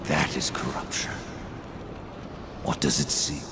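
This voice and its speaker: An actor playing the grim horseman Death in a game cutscene, terse and cold, with loud music behind. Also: takes it slowly, heavy background noise, close to an everyday voice. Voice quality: gravelly. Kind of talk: video game cutscene acting.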